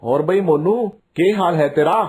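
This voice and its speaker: Man acting a gruff Haryanvi uncle. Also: a little high, measured pace, a little mellow, natural voice. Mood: brusque, bargaining. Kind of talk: Hindi cartoon voice acting.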